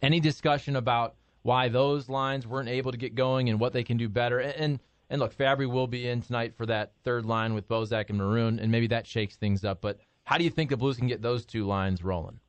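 A man's voice: medium pitch, fairly even intonation, a little fast, few pauses, no echo, muffled recording. A man in his thirties hosting a casual sports talk show, asking questions in a playful, joking way. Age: 30s. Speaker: radio host.

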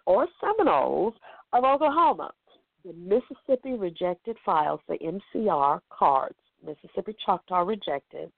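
A hoarse woman speaking as a guest in an interview, talking about her genealogy work with emphatic enthusiasm. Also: mid-range voice, no room sound. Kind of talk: interview answers. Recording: tinny phone line, dry.

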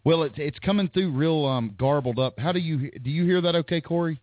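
A man's voice: on the high side, brisk, dry recording. Chatty male radio host on a tinny line. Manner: chatty, laughs often, frequent ums. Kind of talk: casual call-in radio talk. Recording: dry, tinny phone-like line.

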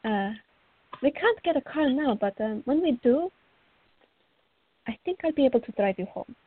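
A woman's voice: high voice, expressive, normal speed, slightly rough. A woman playing a fiery, cheeky teenage girl in a radio drama, eager and playful. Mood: hopeful, eager. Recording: dull lo-fi recording.

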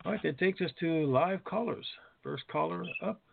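A man's voice: a little high, moderate pace, gravelly, a little hiss or hum. A man hosting a conference call, making informal announcements and answering callers over a phone line. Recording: phone-like narrow-band conference call audio.

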